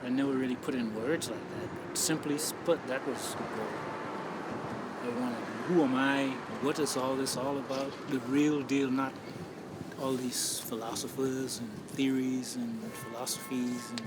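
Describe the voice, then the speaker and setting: A man in his seventies with a Bahamian accent, frankly reflecting on his life in an interview, often pausing and restarting. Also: medium pitch, steady tone. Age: 70s. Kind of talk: candid street interview. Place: outdoors on a city street. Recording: loud street traffic noise. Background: city traffic.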